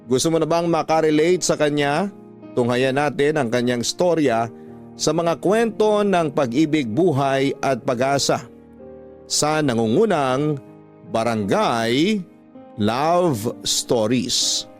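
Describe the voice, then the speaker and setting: A Filipino man hosting a radio story program, narrating scripted drama in a measured, deliberate way with drawn-out phrase endings. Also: fairly high pitch, expressive. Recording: dry studio voice over clear background music. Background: background music.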